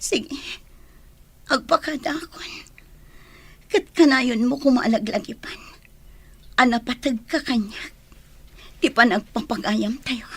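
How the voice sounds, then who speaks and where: A woman playing a remorseful, heartbroken young woman in an Ilocano radio drama, speaking softly and apologetically. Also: fairly high pitch, slow pace, pauses often, slightly rough, full character voice. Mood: pleading, remorseful.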